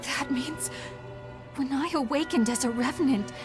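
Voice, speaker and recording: A woman playing a gentle, grieving young revenant in a video game, speaking in a halting, emotional way. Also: high voice, music in the background, close to an everyday voice. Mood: sorrowful realization. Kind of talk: dramatic video game voice acting.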